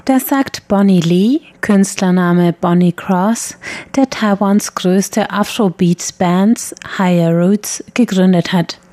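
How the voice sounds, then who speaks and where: A woman narrating a scripted radio feature in a measured, clear read. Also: medium pitch, dark tone, dry recording. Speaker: radio reporter.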